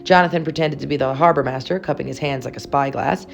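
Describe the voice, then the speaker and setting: A woman with a low voice reads a children's picture book aloud. Her delivery is expressive and storylike, with carefully shaped, dramatic phrases. Music plays behind her. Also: fast talker, gravelly.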